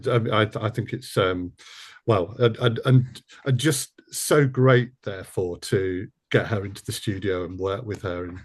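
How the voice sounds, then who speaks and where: Male interviewer, somewhat deep and raspy, conversational, hesitant phrasing. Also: unhurried. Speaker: interviewer and audio drama producer.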